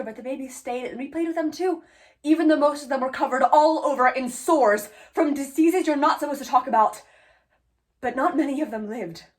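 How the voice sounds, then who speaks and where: A woman performing a stage monologue as a haunted, defiant young teacher, speaking plainly and deliberately with pauses. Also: fairly high pitch.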